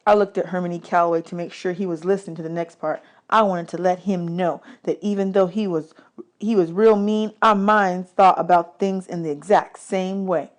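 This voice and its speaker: A woman's voice: on the low side, steady tone, normal speed. A woman giving a scripted storybook narration as an audiobook reader.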